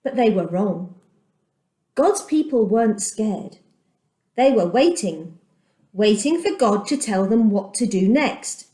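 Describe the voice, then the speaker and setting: Slow, expressive woman reading a children's story. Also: mid-range voice. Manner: deliberate, dramatic emphasis with repeated pauses. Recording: echoey room.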